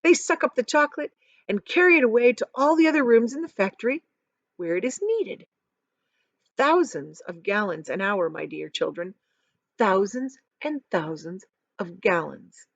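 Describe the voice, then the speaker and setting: An eccentric, excitable male chocolate maker from a storybook, played by a woman reader. He boasts and exclaims with emphasis, his pitch leaping about freely. The sound is dry and a little muffled. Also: moderate pace, creaky, no echo. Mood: thrilled boasting. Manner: emphatic, exclamatory, repeats phrases for effect.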